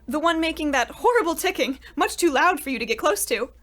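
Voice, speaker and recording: A woman's voice: sits high, lively intonation, brisk, fairly bright tone, soft background music. A woman playing a flustered murderess feigning polite innocence in an old-time radio comedy, theatrical and nervously evasive.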